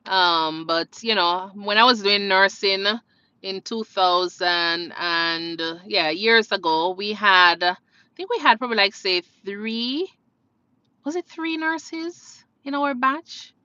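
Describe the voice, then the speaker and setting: A woman's voice: medium pitch, slow, sharp tone, dry recording, lo-fi sound. A woman with a Jamaican accent hosting a casual live question-and-answer stream, chatting conversationally and addressing viewers directly. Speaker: live stream host, nurse.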